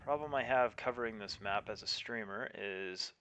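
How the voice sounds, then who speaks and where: Male esports caster, lowish and forceful, halting delivery, some background noise. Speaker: esports match commentator and streamer. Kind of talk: live tank game esports commentary.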